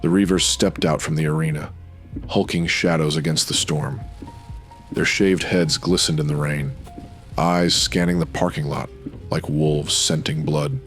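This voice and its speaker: An extremely deep male narrator for a noir radio drama. He reads scripted prose with brooding, dramatic weight over faint music. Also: steady tone, unhurried, a bit bright.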